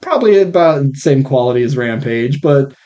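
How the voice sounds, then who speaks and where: A man co-hosting a podcast in casual, jokey, rambling chat, riffing freely on a rough, faulty microphone. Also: mid-range voice, lively intonation, a little fast, dark tone, highs cut off. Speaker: podcast co-host.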